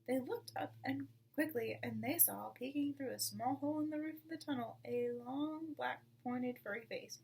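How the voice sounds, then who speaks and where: A woman's voice: sits high, normal speed, hardly any pauses, a little mellow. A woman reading a children's book aloud as the narrator. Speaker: storybook reader. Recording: distant mic with clear background noise.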